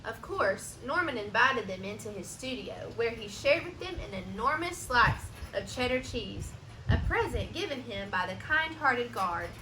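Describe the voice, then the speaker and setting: Slow, high-voiced woman reading a storybook. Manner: expressive storytelling, pausing to ask questions. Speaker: teacher reading a storybook aloud to children. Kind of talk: animated children's read-aloud with asides. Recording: roomy echo, noticeable background hiss.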